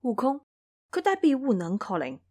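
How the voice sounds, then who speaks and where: A woman voicing a forgiving male monk master in an audio storybook, earnest, measured and reasoning. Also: a little high, huge pitch swings, a little mellow.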